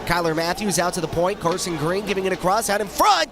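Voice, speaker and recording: A man calling hockey play-by-play, sounding nasal from a head cold, with a rapid running commentary that rises on shots. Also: sits high, expressive, background noise. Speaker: play-by-play announcer.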